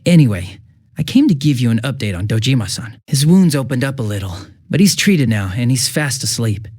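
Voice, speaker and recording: A man voicing a young police detective in a video game, affable and easily flustered, with a casual, hesitant and excitable way of talking. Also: mid-range voice, a little fast, dry recording, clean studio sound. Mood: friendly, reassuring. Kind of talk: English video game voice acting.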